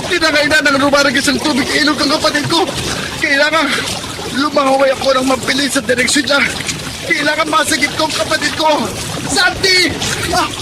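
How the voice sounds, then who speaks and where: An actor playing an earnest, guilt-ridden young elder brother in a Filipino radio drama, pleading emotionally. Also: male voice, extremely high pitch, steady tone, a little fast, heavy background noise. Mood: urgent.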